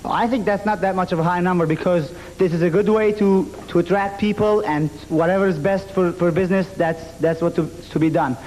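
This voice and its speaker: A young man from Lebanon, a game show contestant, speaks quickly and earnestly, backing up and restarting his sentences. His voice has a hall's long reverb and some noise around it. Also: high voice, steady tone, darkish tone. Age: young adult. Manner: fast-talking, earnest, with restarts.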